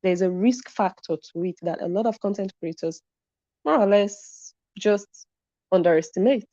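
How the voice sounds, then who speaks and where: A woman's voice: mid-range voice, moderate pace, dark tone, no room sound. A woman hosting a live commentary stream, reading viewer comments and stressing her points by repeating them. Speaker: commentary channel host. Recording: dull lo-fi sound.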